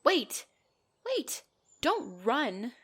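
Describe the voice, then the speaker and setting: A woman's voice: high-pitched, sing-song, extremely slow, fairly bright tone, slightly rough, full character voice. A woman voicing a possessive female centaur in an ASMR roleplay, bantering and commanding, with dry exasperation. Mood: commanding, angry. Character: possessive female centaur, bossy, teasing, half-gentle captor.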